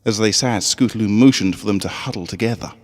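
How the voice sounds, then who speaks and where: A man reading a story aloud as an expressive narrator, with a long hall-like reverb on the recording. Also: on the low side, fast. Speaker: fan fiction reader.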